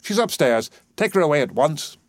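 A man voicing an imperious, excitable professor in a play reading, declamatory and overbearing. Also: fairly high pitch, normal speed, no room sound, pitched above the performer's own voice. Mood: brisk, mocking. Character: imperious, excitable male professor, scornful and bossy.

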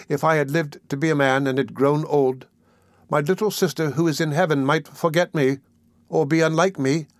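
A man's voice: a little high, no room sound, clean studio sound, pitched above the performer's own voice, natural voice. A man voicing a meek, frail orphan boy in a storybook reading, speaking in a faint, faltering, earnest way. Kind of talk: audiobook character acting.

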